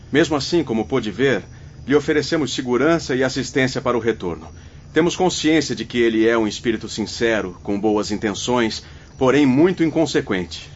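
An actor playing a stern, concerned official of a spirit colony in a radio drama, speaking formally. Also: male voice, mid-range voice, lightly acted. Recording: dull recording with faint ambient noise.

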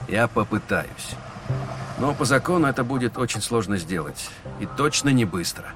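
A man playing a patient police lieutenant who talks in a measured, explanatory way. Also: mid-range voice, lively intonation, noisy background, natural voice. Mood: explaining. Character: weary young male police lieutenant, patient. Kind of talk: audio drama acting.

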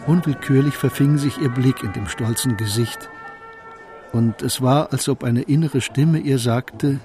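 A man's voice: mid-range voice, a little slow, music in the background, lightly acted. A man giving composed, literary storytelling narration in a radio drama.

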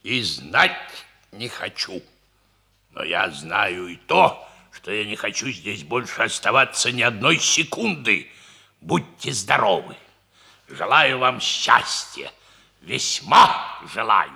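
Affronted dignified old friend, wildly swooping pitch, slow deliberate pace, clipped phrases, old radio comedy. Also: male voice, big pitch swings, creaky, pushed and bright, heavily acted. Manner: clipped, repetitive, pompous. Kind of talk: 1960s Soviet radio comedy acting. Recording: vintage 1960s radio play recording.

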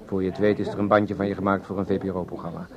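An actor playing a wry, practical friend in a radio play, dryly interjecting and teasing. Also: male voice, low voice, level tone, very fast, highs cut off, crowd noise. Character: wry, practical male colleague and confidant.

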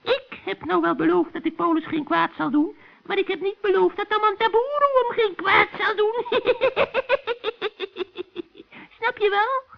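A man playing a cranky, scheming old forest witch with a shrill, cackling voice in a children's radio play. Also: normal speed, vocal fry, muffled recording. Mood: sly. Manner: scolding, exclaiming, wheedling.

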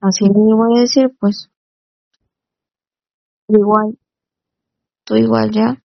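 A dull, muffled phone-call recording with a dry, close sound. A woman with a fairly high voice chats informally. She is insistent and tends to repeat short phrases. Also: flat delivery, slow pace, vocal fry, no room sound. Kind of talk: casual private phone conversation. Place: phone call, one side heard.

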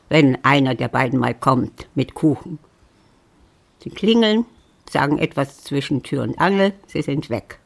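An elderly widow with a low, creaky voice. She muses to herself in a wry, grumbling way, lonely and set in her ways, as in a literary radio play. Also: darkish tone, heavily acted. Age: older. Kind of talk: German literary radio drama acting.

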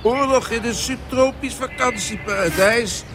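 A man's voice: extremely high pitch, a little slow, over music. An actor playing a middle-aged father in a Dutch radio play, mocking and placating, with a breezy, belittling manner. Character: dismissive, placating father and husband on holiday.

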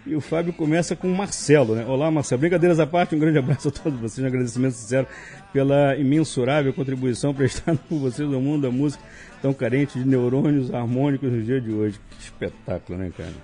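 A man's voice: medium pitch, quick pace, dark tone, soft background music. A man with a Brazilian accent hosting live radio, reading listener emails aloud with jokey asides. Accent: Brazilian Portuguese. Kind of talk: casual live radio chat.

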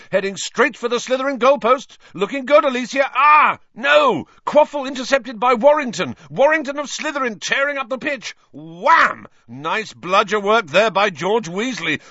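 A man voicing an excitable young male commentator calling a match, cheering and openly biased. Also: very high voice, no echo, dull audio, voice pushed up, exaggerated voice. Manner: frantic, cheering, biased play-by-play.

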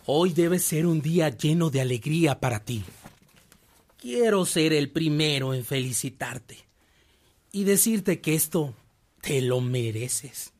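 A male actor playing a kindly, solemn senior priest, husky and measured, in a radio drama. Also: fairly high pitch, expressive, a little mellow. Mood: solemn, affectionate. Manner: measured, paternal.

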